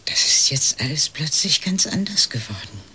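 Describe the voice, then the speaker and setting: Elderly woman client, low register, breathy, bright edge, polite, hesitant, radio crime play, slight rumble behind. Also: very animated, moderate pace, in a whisper, full character voice. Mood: hesitant, explanatory. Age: 60s or older. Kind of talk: German radio crime drama dialogue.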